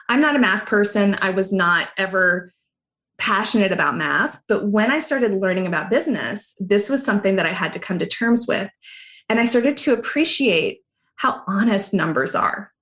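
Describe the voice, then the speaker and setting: A middle-aged woman from Hawaii, a guest in an interview, speaking in a thoughtful, reflective way. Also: mid-range voice. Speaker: guest, yoga teacher. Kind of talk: conversational interview.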